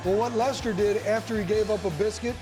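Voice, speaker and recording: An American man with a high voice analyses sport on a studio panel. His delivery is colloquial and emphatic, repeating phrases for stress. Music sits faintly behind him. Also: steady tone, brisk, low-quality recording.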